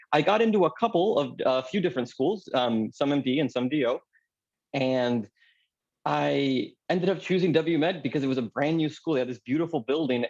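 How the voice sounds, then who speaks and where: A man in his thirties from California talks through his own story at an easy, chatty pace, dropping frequent ums and laughing often. His voice sits in a middle pitch. The recording sounds a little dull and lo-fi. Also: darkish tone. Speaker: anesthesiologist speaking at a mentoring workshop. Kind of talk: casual personal story.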